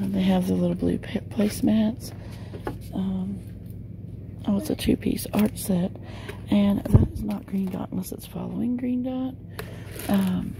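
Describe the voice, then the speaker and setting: A woman's voice: medium pitch, creaky, heavy background noise. A middle-aged woman chatting casually as she films a walk through a large store, talking loosely with many fillers. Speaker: shopping vlogger. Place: inside a large discount store. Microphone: handheld phone camera.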